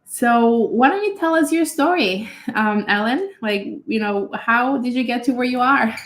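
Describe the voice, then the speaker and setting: A woman from Honduras hosts a live webinar in a friendly, slightly halting way. Her fairly high voice carries a roomy echo. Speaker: host.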